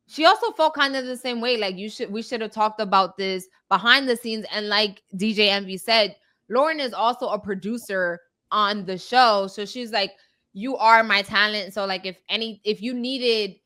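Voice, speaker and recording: A woman's voice: mid-range voice, sharp tone. A woman hosting a commentary show, explaining things in a casual, conversational way.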